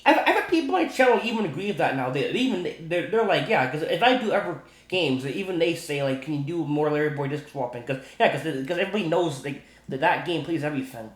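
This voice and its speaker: Male gaming creator, higher-than-average pitch, casual and repetitive with slangy enthusiasm, roomy echoing home recording. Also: lively intonation, fast talker, few pauses. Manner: repetitive, stumbling, restarts sentences often. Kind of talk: rambling casual commentary on video game glitches.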